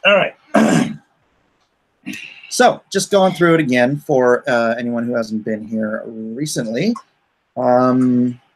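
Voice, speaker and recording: A man hosting a casual livestream as a comic creator, rambling and thinking aloud as he talks through his campaign. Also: medium pitch.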